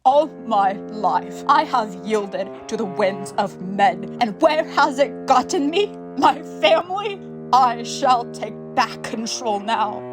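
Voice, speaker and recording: A proud, ruthless queen in a Bible audio drama. Her voice is high and she speaks slowly and imperiously, with theatrical weight, over soft background music. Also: animated. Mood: cold, ruthless. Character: proud, grieving, ruthless ancient queen and mother.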